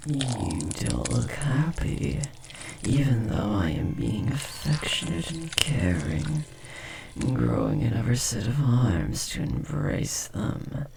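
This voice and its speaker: A man voicing a possessive, menacing insectoid parasite devoted to his mate in a horror audio roleplay, with a breathy, gravelly whisper and a condescending manner. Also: mid-range voice, sing-song, background noise, heavily acted. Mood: smug, obsessive. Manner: slow, sinister, coolly condescending, tender about family.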